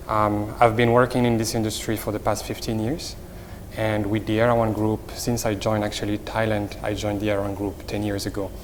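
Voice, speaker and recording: A middle-aged man with a Moroccan accent explains things in a semi-formal interview as a company executive, haltingly and with repeated words. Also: fairly low pitch. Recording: reverberant hall, faint background noise.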